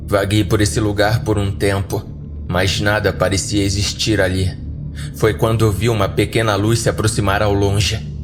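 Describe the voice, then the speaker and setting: Brazilian man, lowish voice, dramatic scripted horror narration, clear music underneath. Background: background music.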